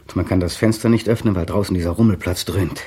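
Brooding cynical poet, lowish husky voice, halting self-talk, sudden declamation, 1960s radio play monologue. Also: male voice, steady tone, dark tone. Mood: irritable, sardonic. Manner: talking to himself, halting, switching into slow declamation. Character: brooding, cynical man, a poet, waiting restlessly at home. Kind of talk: 1960 German radio drama interior monologue.

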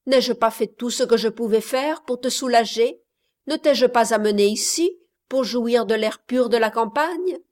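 Woman putting on a blustering miserly father, raised voice, slow pace, storybook reading. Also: on the high side, voice pushed up, natural voice. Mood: insistent. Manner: loud, insistent, exclaiming. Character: blustering rich old man, miserly father.

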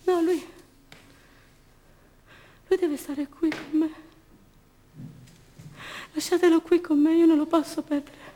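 A woman's voice: very high voice, steady tone, takes it slowly, pauses often, warm tone. A woman acting an anguished young imprisoned mother in a radio theatre monologue, theatrical and repetitive, by turns defiant, tender and fearful. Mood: anguished, pleading. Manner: theatrical, repetitive, emotionally raw delivery.

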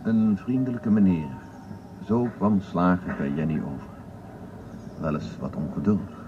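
An actor playing a solemn, reverent radio eulogist in a drama, on a muffled, noisy broadcast recording. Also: male voice, sits low, lively intonation, measured pace. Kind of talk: radio obituary within a drama.

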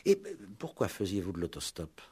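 Husky, low-voiced, sceptical police commissioner. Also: male voice, huge pitch swings, moderate pace, darkish tone, full character voice. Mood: probing, sceptical. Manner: curt, methodical questioning with grunts and short prompts. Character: probing, dryly sceptical male police commissioner. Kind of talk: 1960s French radio play acting.

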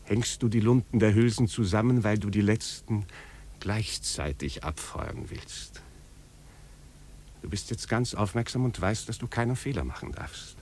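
An actor playing a proud, doomed prince in a poetic 1950s German radio drama, speaking in solemn, intense, declamatory questions. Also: male voice, fairly low pitch, a little slow, darkish tone, slight background noise, close to an everyday voice. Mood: solemn, intent. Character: proud doomed prince, brooding and solemn, addressing his servant.

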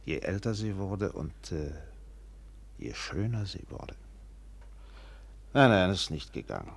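An actor playing a worldly, blunt steamship captain who also serves as storyteller in a German radio play. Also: male voice, sits low, big pitch swings, slow pace, vocal fry, breathy edge, full character voice. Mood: resigned. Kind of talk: German radio play, dialogue and literary narration.

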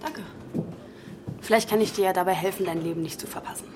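A woman's voice: lowish voice, fast, background noise, natural voice. An actress in a radio drama playing a self-assured woman in her twenties who talks in a terse, understated way. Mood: cool, teasing. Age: 20s. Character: cool, self-assured young woman, bartender and dealer. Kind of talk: naturalistic German radio drama.

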